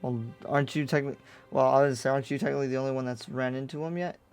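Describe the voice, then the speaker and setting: A man playing a curious, easygoing demon slayer in an improvised anime roleplay, with a halting, attentive way of talking. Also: mid-range voice, steady tone, a little mellow, clean tone, lightly acted. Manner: halting, attentive, murmuring acknowledgements. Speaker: roleplay player. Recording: background music under the voice.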